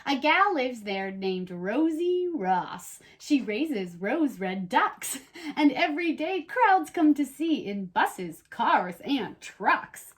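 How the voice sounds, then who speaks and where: A woman with a high, very lively voice reads aloud as a children's show host. She tells stories expressively, with playful chat, and explains things in simple terms for young listeners. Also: takes it slowly.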